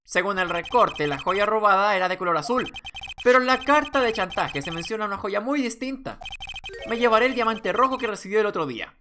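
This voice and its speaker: Woman playing an earnest, animated young male attorney. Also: medium pitch, fairly quick, slight background noise. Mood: pointed. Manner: declamatory, accusing.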